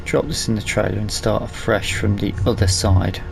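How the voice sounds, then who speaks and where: A British man giving casual, unhurried let's-play commentary, thinking aloud with chatty tag questions. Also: steady tone, creaky, over music. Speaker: gaming video host. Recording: engine rumble and noise behind voice. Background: farming game vehicle sounds.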